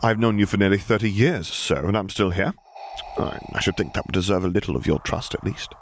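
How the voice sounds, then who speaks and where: A man's voice: deep voice, brisk, a little hiss or hum. A man voicing a loyal, indignant older admiral in an audiobook, speaking with formal, emphatic military address. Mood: stubborn. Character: defiant, loyal older male fleet admiral, earnest and indignant. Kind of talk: audiobook character voice.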